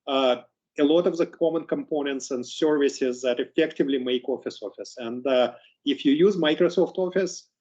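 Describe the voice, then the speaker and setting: A man from Russia with a Russian accent giving a keynote talk, halting and often repeating words. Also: mid-range voice, darkish tone, dull audio. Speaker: keynote speaker, engineering executive.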